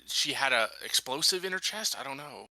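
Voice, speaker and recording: A man's voice: medium pitch, animated, brisk, vocal fry, bright, forceful tone, rough recording. A man playing a tabletop roleplaying game, talking casually in and out of character and hesitantly restating himself.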